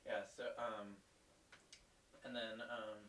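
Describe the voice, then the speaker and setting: A man's voice: on the low side, level tone, takes it slowly, a bit bright. A man hosting a livestream, chatting casually and hesitantly with plenty of ums. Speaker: livestream host. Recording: roomy, distant mic with hiss.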